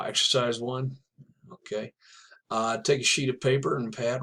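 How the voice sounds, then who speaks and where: A man's voice: mid-range voice, a bit bright. A man teaching writing in a video lesson. He talks casually and encouragingly, with small laughs now and then. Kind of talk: instructional video talk.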